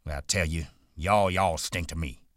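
A man's voice: rumbling low, moderate pace, fairly bright tone, no room sound, clean studio sound. A man voicing a proud, bitter, aloof stable hand who speaks sharply and defensively. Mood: hostile.

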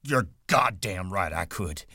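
A man's voice: low-pitched, expressive, takes it slowly, vocal fry, sharp tone, husky, dry recording, clean studio sound, voice pushed up, exaggerated voice. A man voicing a big, simple-minded farmhand who talks in an eager, begging, childlike drawl.

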